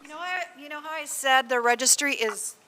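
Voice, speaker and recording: Excitable higher-voiced woman moderator. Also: a little fast. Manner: loud, excitable, enthusiastic. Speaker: session moderator. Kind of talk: live event moderating. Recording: echoey hall, faint noise.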